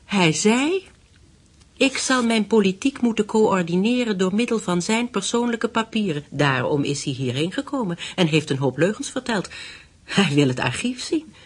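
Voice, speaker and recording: An actress playing an anxious, determined widow of a politician in a radio drama, speaking with intense, hesitant emphasis. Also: female voice, medium pitch, expressive, moderate pace, husky. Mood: shaken, indignant.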